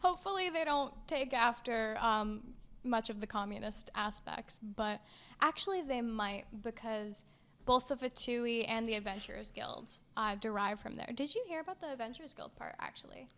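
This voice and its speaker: Giggly teenage girl, higher voice. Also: moderate pace, fairly bright tone. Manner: giggly, hesitant with frequent ums. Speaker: podcast co-host. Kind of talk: casual chat.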